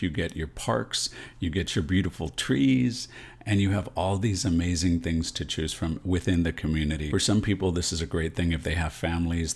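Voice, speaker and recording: Very deep-voiced American man. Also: darkish tone. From San Antonio, Texas, USA. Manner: explanatory, often checks in with "right?". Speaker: realtor and video host. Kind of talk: direct-to-camera advice video.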